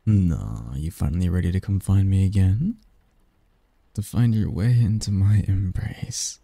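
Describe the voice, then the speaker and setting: A man voicing a seductive, doting sleep demon lover in an intimate ASMR roleplay, breathy and coaxing, close to the mic. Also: deep voice, mellow, no room sound, heavily acted. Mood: coaxing, teasing. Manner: slow, coaxing, teasing, murmured. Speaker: roleplay audio performer. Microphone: close, dry microphone.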